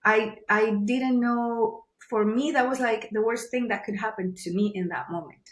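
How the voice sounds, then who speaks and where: A woman with a Venezuelan Spanish accent speaks as a guest in a casual podcast interview. Spanish is her first language, and she speaks earnestly, pausing to find her words. Her voice bounces around a reverberant room. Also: fairly high pitch. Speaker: guest, journalist.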